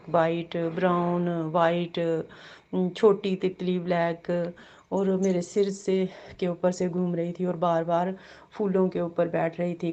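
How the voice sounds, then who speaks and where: A woman with a sore throat sharing a devotional testimony, halting with many fillers and repeated blessings. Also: lowish voice, dark tone, lo-fi sound. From Jammu.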